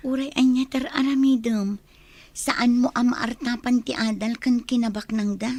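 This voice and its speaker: A woman's voice: fairly high pitch, steady tone, normal speed, a little mellow, no room sound. A woman with an Ilocano accent playing a cautious, loyal cousin in a radio drama, asking worried questions.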